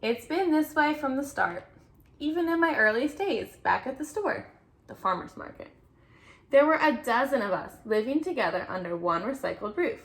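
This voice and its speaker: A woman voicing an earnest, anxious-to-please male egg in an expressive children's picture-book read-aloud. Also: high-pitched, moderate pace. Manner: expressive storybook reading with playful emphasis.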